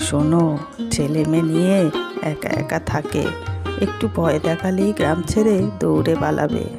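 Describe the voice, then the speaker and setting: A woman's voice: low-pitched, fairly even intonation, moderate pace, creaky, music in the background. A woman playing a cunning village wife in a cartoon, bossy and instructing in her manner. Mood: scheming. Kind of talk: animated story dialogue.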